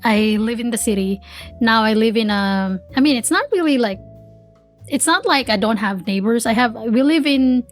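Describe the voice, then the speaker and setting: Higher-voiced lady from the philippines hosting over a video call, rambly and friendly chat, faint music behind. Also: lively intonation, moderate pace. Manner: chatty, rambling, with repeated restarts. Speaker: podcast host.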